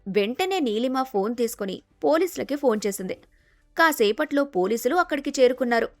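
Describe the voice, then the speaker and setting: A woman narrates a scripted story as a storyteller. Her voice is fairly high and recorded very dry. Faint music plays behind her. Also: lively intonation, brisk, no echo.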